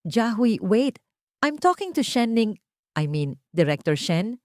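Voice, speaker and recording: A woman's voice: fairly high pitch, very animated, unhurried, a little mellow, no echo, studio quality, voice pushed up. A woman voicing a desperate, guilt-stricken man pleading in an audio drama story. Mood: flustered. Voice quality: cracked, stammering voice.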